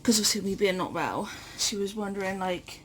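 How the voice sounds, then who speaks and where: A woman hosting a casual vlog, chatting informally and haltingly to the camera. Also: medium pitch, a bit bright, a little hiss or hum. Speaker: vlog host.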